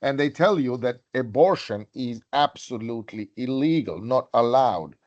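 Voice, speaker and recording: Discursive male webinar moderator, medium pitch. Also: animated, moderate pace, darkish tone, lo-fi sound. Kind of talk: unscripted panel moderation. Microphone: video call.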